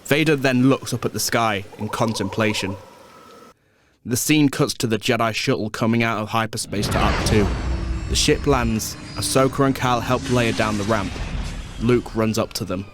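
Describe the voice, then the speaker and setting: A man's voice: on the low side, normal speed. A man narrating a scripted audio drama. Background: music.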